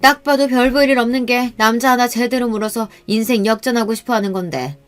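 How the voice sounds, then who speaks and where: A woman's voice: on the high side, a little fast, pitched above the performer's own voice, natural voice. Woman putting on a sneering wealthy mother. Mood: contemptuous. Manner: sneering, scolding. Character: haughty rich older mother, contemptuous and shrill.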